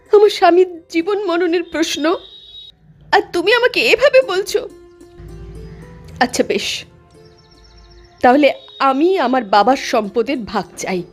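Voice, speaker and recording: A woman's voice: very high-pitched, heavily acted. A woman voicing a desperate, headstrong younger sister in a cartoon with earnest, dramatic delivery.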